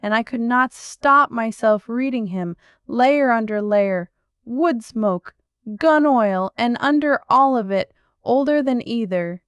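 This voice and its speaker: A woman narrating a first-person story in a measured, reflective way. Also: fairly high pitch, smooth, no echo, clean studio sound.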